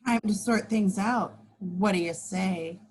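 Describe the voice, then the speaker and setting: A woman's voice: medium pitch, slow, husky. A woman in an amateur table read playing a coolly threatening young woman villain in a horror story. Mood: cool, menacing.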